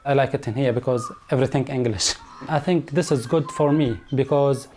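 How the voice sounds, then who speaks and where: Arabic-accented man, interview bite, hesitant halting English, middle pitch. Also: darkish tone, a little hiss or hum.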